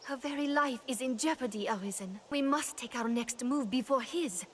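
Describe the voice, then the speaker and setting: A woman's voice: high-pitched, moderate pace, slight background noise, natural voice. A woman playing a devoted, earnest lady's maid in a fantasy game, speaking in a formal, archaic way. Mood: worried pleading.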